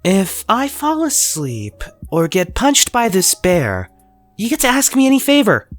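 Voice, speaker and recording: A cheerful teenage boy sorcerer teases his friend in a fan audio drama, voiced by a woman. His voice rises and falls with animated swings and takes its time over each line. Faint music plays underneath. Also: medium pitch, a little slow. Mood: playful. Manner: playful, teasing.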